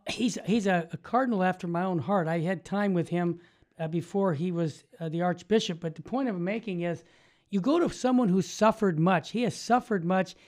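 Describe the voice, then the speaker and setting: A man's voice: high voice, darkish tone. An older man talking emphatically and conversationally as an opinionated radio host, close on a studio mic. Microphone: studio mic on boom arm, headphones.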